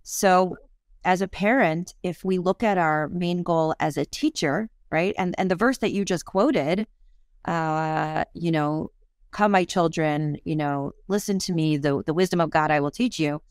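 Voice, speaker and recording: A woman's voice: fairly low pitch, a little slow, clear, resonant tone, no room sound, studio quality. A woman in her fifties, a parenting coach and author, talking earnestly and reflectively in a podcast interview, with self-deprecating honesty.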